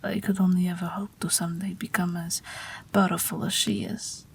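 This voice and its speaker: A woman's voice: lowish voice, level tone, slight background noise. A woman voicing an ageless Russian night witch pilot, wry, tender and weary, in an intimate, whispery audio roleplay. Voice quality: breathy, whispery. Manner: gentle, dryly wry, unhurried.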